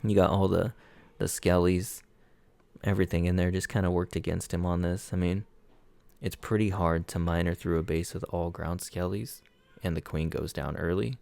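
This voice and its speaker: A man giving a relaxed, conversational breakdown of game attacks as he watches them play, his voice hoarse and going out. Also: deep voice, moderate pace. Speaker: clan player reviewing war attacks. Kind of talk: casual gameplay commentary.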